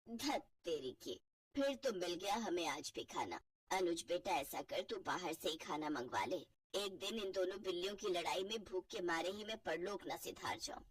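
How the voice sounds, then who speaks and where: Lady doing a grumbly old mother-in-law for a cartoon, lowered pitch, dramatic complaining, bit off mic. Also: expressive, normal speed, distant mic, natural voice. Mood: exasperated. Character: elderly mother-in-law, exasperated and melodramatic. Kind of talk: Hindi family cartoon voice acting.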